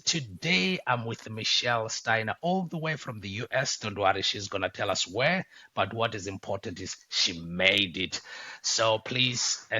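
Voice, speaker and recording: A man's voice: lowish voice, bright, forceful tone, slightly rough, dry recording. A man with a South African accent hosting a podcast interview over a video call from home, chatty, with many fillers and restarts. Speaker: podcast host.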